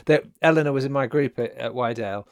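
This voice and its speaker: A man's voice: mid-range voice, fast talker, no room sound. A man hosting a casual chat about worship songs, talking in an enthusiastic, encouraging way and laughing easily.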